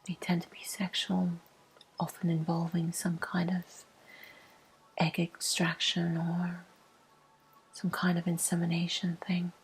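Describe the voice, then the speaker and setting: A woman telling a personal story as an ASMR host in a breathy, hushed, mostly whispered voice with slow pauses. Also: fairly low pitch, monotone, rough recording.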